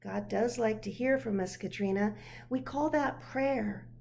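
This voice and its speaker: A woman voicing a gentle, patient parent answering a child in a measured, reassuring way, from a picture book. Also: on the high side, gravelly, slight background noise.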